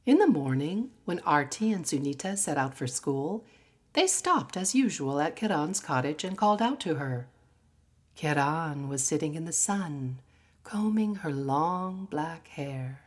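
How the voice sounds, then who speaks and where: Female narrator, low register, expressive dramatic delivery of literary prose. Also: a little slow. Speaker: story reader.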